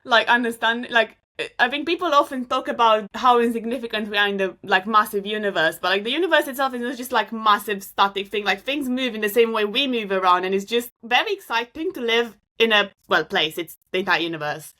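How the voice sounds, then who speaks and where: Bright-voiced Romanian woman astrophysicist. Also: a little high, fairly quick. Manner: rambling, many fillers and restarts. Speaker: astrophysicist guest. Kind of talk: casual interview answers.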